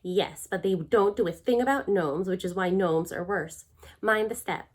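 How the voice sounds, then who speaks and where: A woman voicing a brisk, bossy, practical witch from a storybook, firm and no-nonsense. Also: mid-range voice, natural voice.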